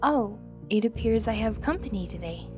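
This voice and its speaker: A woman's voice: mid-range voice, animated, normal speed, music in the background, natural voice. A woman playing a young changeling princess in an audio drama, wistful and proudly stubborn, with formal, stately phrasing. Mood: wistful, curious.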